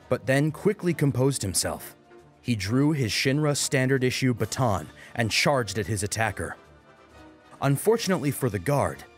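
A man narrating a scripted story as a dramatic audiobook reader. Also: mid-range voice. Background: music.

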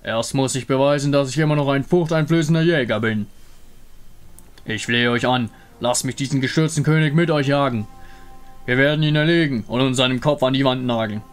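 Ein Mann spricht einen stolzen, prahlerischen Löwenkrieger in einem Fantasyspiel, der von sich in der dritten Person redet. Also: male voice, medium pitch, fairly quick, clear, resonant tone, soft background music, natural voice. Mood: proud, pleading. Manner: speaks of himself in third person, boastful. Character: proud boastful male lion-beast hunter.

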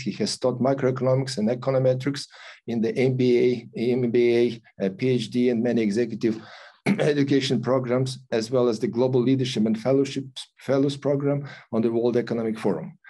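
An older man hosting an online event, reading a formal introduction with many pauses and fillers. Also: mid-range voice, dark tone. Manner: halting, many fillers and restarts. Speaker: host introducing a guest. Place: office with logo backdrop. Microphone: video call.

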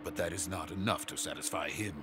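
Man acting grave, low-voiced Soviet scientist. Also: close to an everyday voice. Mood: grave, urgent. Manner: grave, formal, measured explanation. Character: anxious middle-aged male Soviet rocket scientist, grave and bitter. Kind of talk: dramatic video game voice acting. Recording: loud music behind the voice.

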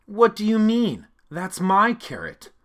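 Man putting on a high eager boy worm, bright, excited, storybook. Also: slow pace, heavily acted. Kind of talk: storybook read-along.

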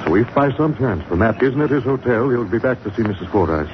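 An actor playing a brisk, suave amateur detective in 1940s radio drama, with clipped delivery. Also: male voice, mid-range voice, animated, natural voice. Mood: brisk, urgent. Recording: muffled, noisy old radio recording.

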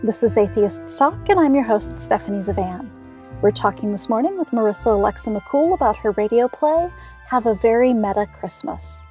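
A woman with a slightly high voice hosts a radio interview program. She speaks as the presenter, putting questions to a guest and handling station announcements. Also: expressive, normal speed, a little mellow, soft background music. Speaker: radio show host.

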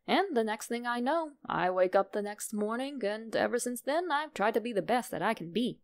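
A woman narrating a horror story in a measured, deliberate, dramatic way. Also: a little high, lively intonation.

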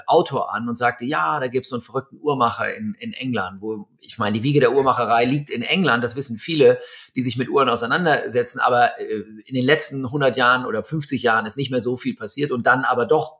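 A man in his fifties tells stories from his career as a watch magazine editor and pauses often on filler sounds. He speaks at a moderate, middle pitch. The sound comes from a video call in a room at home. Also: pushed and bright. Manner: hesitant, many fillers, storytelling. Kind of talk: conversational interview. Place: home room with bookshelves and string lights.

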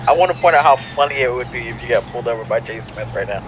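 A man's voice: medium pitch, animated, racing. A man bantering casually with fellow players in online police roleplay voice chat. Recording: clear vehicle noise over game chat.

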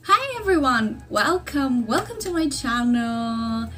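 A woman's voice: high-pitched, sing-song, slow pace, a bit bright, slight background noise. A young woman from Greece with a Greek accent, chatting to a webcam in her bedroom in English, her second language, rambling with many fillers and restarts. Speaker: vlogger. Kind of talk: casual chat to camera. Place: small bedroom indoors. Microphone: laptop webcam mic at desk distance.

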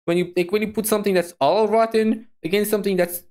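A woman's voice: mid-range voice, steady tone, quick pace, warm tone. A woman reacting to a video as a VTuber, musing aloud in a casual, hesitant, repetitive way.

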